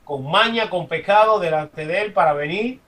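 A man's voice: sits high, normal speed, pushed and bright. Distant-sounding Dominican man, emphatic. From Dominican Republic. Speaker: congregant giving comments. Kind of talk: impromptu religious testimony. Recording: distant from the microphone.

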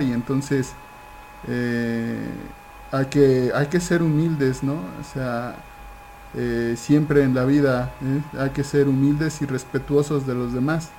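A man's voice: medium pitch, steady tone, unhurried, darkish tone, a little hiss or hum. A man speaking in an informal Bible discussion, hesitant and full of fillers and restarts. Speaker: participant in an online Bible study. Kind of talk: casual informal testimony.

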